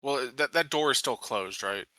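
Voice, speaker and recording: A man's voice: mid-range voice, expressive, moderate pace, bright, forceful tone, no room sound. A man chatting casually as a tabletop game player, thinking aloud about his moves.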